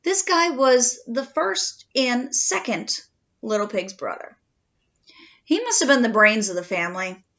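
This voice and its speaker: Woman reading as an animated, aggrieved storybook wolf. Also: fairly high pitch, dull audio, exaggerated voice. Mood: wry, self-justifying. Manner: expressive, mock-innocent storytelling with drawn-out dramatic words. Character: aggrieved male wolf telling his own side, slyly self-pitying.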